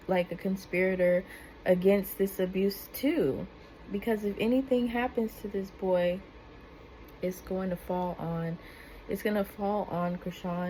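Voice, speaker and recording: A soft-spoken woman, giving earnest casual commentary that rambles with restarts and fillers. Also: fairly low pitch, a little hiss or hum, poor audio. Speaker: commentary vlogger.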